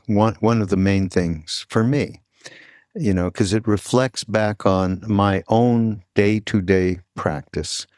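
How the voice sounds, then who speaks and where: A man hosting a podcast in a casual, conversational way, with an informal, rambling and halting delivery. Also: lowish voice, a little mellow, dry recording, clean studio sound. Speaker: podcast host.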